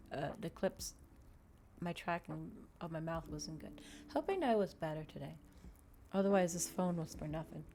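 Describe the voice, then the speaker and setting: Streamer girl w a lowish voice, rambly casual chat, repeats herself, some background noise. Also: a little mellow. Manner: rambling, repeats and restates phrases. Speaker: VTuber livestream host. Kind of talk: casual livestream chat with viewers.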